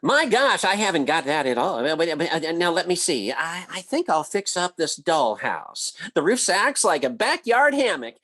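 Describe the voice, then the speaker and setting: Woman doing bumbling folksy radio husband, lowish voice, stammers, blustery, up and down all over. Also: sing-song, normal speed, few pauses, fairly bright tone. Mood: flustered, grumbling. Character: blustering, bumbling husband, boastful and easily flustered. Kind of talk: old-time radio comedy acting.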